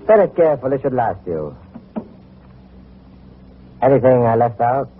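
An actor playing a tough, weary, level-headed gangster with a terse delivery in an old-time radio crime drama. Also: male voice, medium pitch, sing-song, a little slow, slight background noise. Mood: curt. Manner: terse, hard-boiled. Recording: tinny old radio recording.

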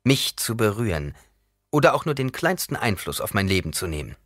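A commanding young man in an audiobook, played by a male narrator, raised in pitch and declaiming loudly. He is scornful and threatening, recorded very dry and close. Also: sing-song, a little fast, no echo. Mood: angry, threatening. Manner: declaiming, forceful, loud.

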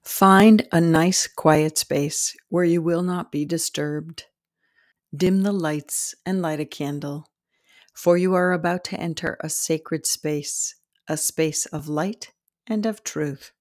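A woman narrating a guided meditation as host, in a soothing, deliberate manner with pauses between short phrases. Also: low-pitched, steady tone, dry recording, clean studio sound.

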